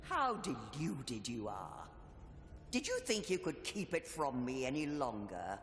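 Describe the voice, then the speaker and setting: Bitter, theatrical sibling in echoing gloom. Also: male voice, medium pitch, huge pitch swings, unhurried, a bit bright, full character voice. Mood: suspicious, taunting. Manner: archaic, grandiose, menacing diction. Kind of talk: scripted dramatic game dialogue. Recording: echoing hall, long reverb, faint hiss.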